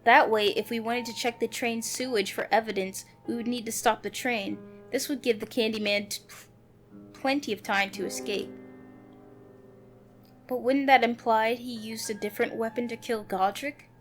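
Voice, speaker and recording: A woman's voice: fairly high pitch, steady tone, normal speed. A woman reading a visual novel aloud, moving between narration and character dialogue, with music playing behind her. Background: background music.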